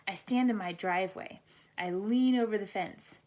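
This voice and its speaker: Female storybook reader, mid-pitched, deliberate delivery for young children at bedtime. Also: dark tone, a little hiss or hum.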